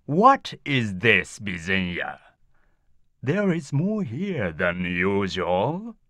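A corrupt, pompous minister in a video game. He speaks extremely slowly, with big swings in pitch, smug and deliberate. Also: wildly swooping pitch, bright, forceful tone, no room sound, exaggerated voice. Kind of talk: video game cutscene acting.

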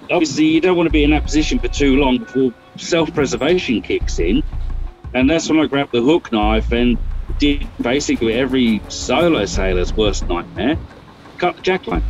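An older man telling a sailing story in a lively, casual way, swearing and acting out his own reactions, recorded over a video call. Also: medium pitch. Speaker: guest sailor. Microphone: video call webcam. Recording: dull lo-fi video call audio.

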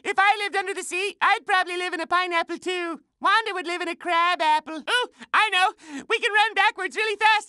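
A man voicing a dim, childlike male cartoon fairy for a video game, nasal and squeaky, with a goofy, scatterbrained manner. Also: pushed and bright, no echo, clean studio sound, heavily acted.

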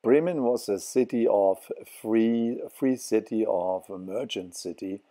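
Slow, deep-voiced man with halting speech. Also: dark tone. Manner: halting, many fillers and restarts. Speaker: travel vlogger. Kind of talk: walking tour narration.

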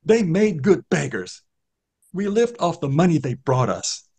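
Man putting on a high strained voice, blind ragged spirit, contemptuous, spluttering, husky, storybook reading. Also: very animated, unhurried, a little mellow, heavily acted. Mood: defensive. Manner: shrill, spluttering, pleading to be left alone. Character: blind, ragged male spirit in a dark underworld, contemptuous and pained.

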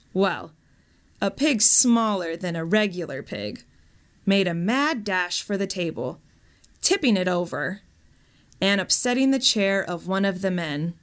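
A woman reading a scripted story in a measured, expressive storytelling manner. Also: lowish voice. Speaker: story reader, actor.

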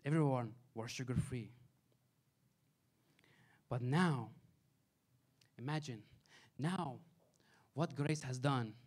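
Pastor preaching with energy. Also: male voice, mid-range voice, takes it slowly, long pauses. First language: Farsi. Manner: preaching with wide swings between loud emphasis and soft asides. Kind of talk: sermon. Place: small church sanctuary. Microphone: handheld mic.

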